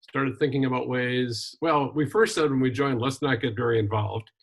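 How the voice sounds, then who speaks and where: An older man from Wisconsin, a retired minister and journalist, telling his life story in a relaxed interview with frequent ums and restarts. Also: mid-range voice, moderate pace, breathy edge. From Wisconsin, USA. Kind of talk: casual conversational interview.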